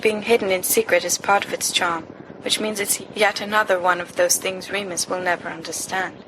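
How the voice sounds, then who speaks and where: A woman reading fan fiction aloud as an amateur narrator, in an expressive storytelling style. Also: on the low side, moderate pace. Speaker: volunteer audiobook reader. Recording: noisy amateur home recording.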